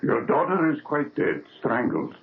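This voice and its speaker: An actor playing a matter-of-fact police inspector with clipped, businesslike speech in a 1970s radio drama. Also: male voice, medium pitch, very animated, measured pace, vocal fry. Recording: narrow phone-like old radio broadcast.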